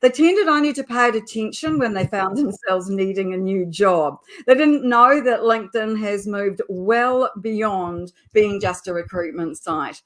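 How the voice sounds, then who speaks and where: A middle-aged woman with a New Zealand accent, hosting a live online business show and opening it with a measured, emphatic scripted introduction. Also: medium pitch, lively intonation, a bit bright. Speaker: host.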